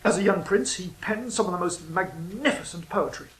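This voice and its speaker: A man's voice: sits high, moderate pace, a bit bright, reverberant, low-quality recording. A man playing an earnest, dreamy young royal poet who speaks in a hesitant, heartfelt way.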